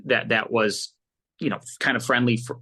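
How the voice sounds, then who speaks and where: Bright, forceful American man being interviewed. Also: medium pitch, steady tone, normal speed, breathy edge. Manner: enthusiastic, repeats words as he goes. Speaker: podcast guest, audio producer. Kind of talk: casual interview chat.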